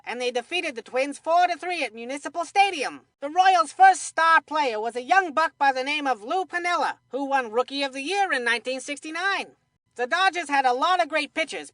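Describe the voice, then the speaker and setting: A woman's voice: high-pitched, lively intonation, moderate pace, fairly bright tone, dry recording. A woman voicing a cheerful, chatty kid baseball commentator in a video game, with folksy, scripted delivery. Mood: cheerful, informative. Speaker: sports commentator.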